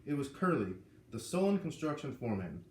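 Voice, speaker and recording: A man reading a children's novel aloud, lively and putting on voices for the characters. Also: mid-range voice, a little fast, far from the mic. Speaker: reader. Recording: echoey room with faint ambient noise.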